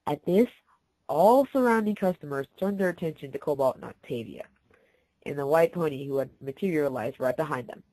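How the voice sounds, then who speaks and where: A woman's voice: deep voice, lively intonation, fairly quick, warm tone, no echo, low-quality recording. A woman reading scripted story narration aloud as the narrator.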